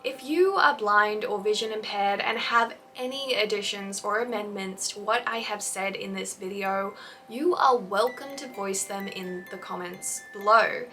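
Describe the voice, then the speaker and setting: A woman's voice: mid-range voice, unhurried, sharp tone, far from the mic, soft background music, poor audio. A young woman YouTuber giving a scripted, earnest and encouraging explainer about fanfiction.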